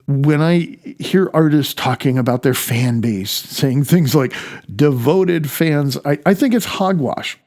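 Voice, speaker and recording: Gravelly man reading his memoir. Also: on the high side, a little mellow. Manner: halting, stumbles and repeats words. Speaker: author reading own audiobook. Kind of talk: audiobook memoir narration.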